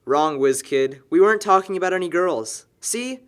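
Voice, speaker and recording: A man's voice: high voice, expressive, normal speed. An actor playing a sarcastic, exasperated young man planning a house party in a film drama, talking in wordy, slangy wisecracks. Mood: exasperated, defensive. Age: young adult. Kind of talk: naturalistic amateur film dialogue.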